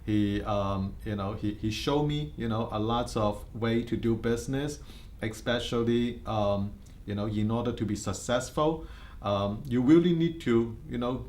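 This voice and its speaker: A man's voice: mid-range voice, measured pace, a little mellow. A middle-aged man with a Hong Kong Cantonese accent, telling his life story in an interview, earnest and full of fillers and restarts. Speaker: insurance agency head.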